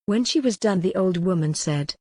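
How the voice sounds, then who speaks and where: A woman's voice: fairly low pitch, expressive, normal speed, darkish tone, no room sound, studio quality. A woman reading a historical romance audiobook as a dramatic, scripted narration.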